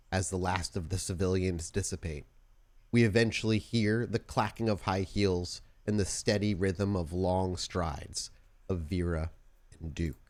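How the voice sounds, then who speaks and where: A man hosting and narrating a tabletop roleplaying podcast as storyteller, informal in chat and deliberate when setting scenes. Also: deep voice, a little mellow. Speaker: podcast host and game storyteller.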